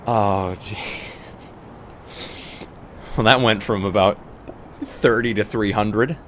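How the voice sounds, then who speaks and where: Low-voiced man playing a tabletop roleplay. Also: fairly even intonation, slow pace, a little hiss or hum. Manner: casual, thinking aloud with short reactions. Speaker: roleplay player. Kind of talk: casual improvised roleplay chat.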